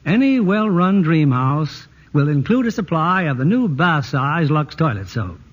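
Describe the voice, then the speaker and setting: A man's voice: fairly high pitch, sing-song, unhurried. A man announcing and narrating in the polished, theatrical scripted style of 1940s radio. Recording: muffled old radio broadcast. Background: music.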